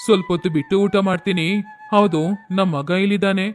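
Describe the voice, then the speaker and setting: A stern, worried merchant father from a cartoon tale, voiced by a man. He lectures in a firm tone, and his voice sits high for a man. Faint music plays behind the scene. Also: fairly even intonation, fast, lightly acted.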